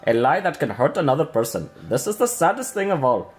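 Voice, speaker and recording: A man's voice: fairly high pitch, sing-song, a little fast, faint music behind. A man co-commentating on a game, casually reading its narration aloud in an amused, mock-dramatic way. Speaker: let's play co-commentator.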